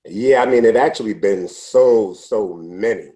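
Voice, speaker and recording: A man in his sixties, a podcast interview guest, telling his life story in an unhurried, reflective way with frequent restarts. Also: fairly low pitch, mellow. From United States. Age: 60s. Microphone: podcast microphone.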